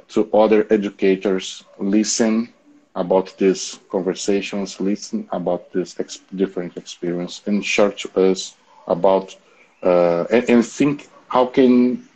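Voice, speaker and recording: A man in his forties with a Brazilian accent, a native Portuguese speaker, hosting a casual online interview in a halting way with repetitions. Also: deep voice, dark tone, husky, low-quality recording. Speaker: interview host. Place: home balcony.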